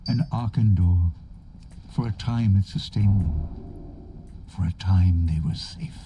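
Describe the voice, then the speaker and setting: An actor playing a solemn, sorrowful elven elder in a fantasy game, telling a tale in a grave, measured way. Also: male voice, deep voice, background noise. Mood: mournful. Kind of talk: scripted fantasy game dialogue.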